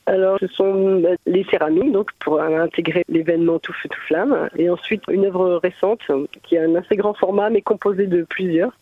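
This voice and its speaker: A woman answers radio questions as a visual artist. Her voice is husky and fairly low, and she explains things with frequent hesitant pauses. The sound is dry and narrow, like a phone line. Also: fairly even intonation, no room sound. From Mamers, France. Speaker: visual artist, interview guest. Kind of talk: conversational radio interview answers. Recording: muffled phone-like narrow band.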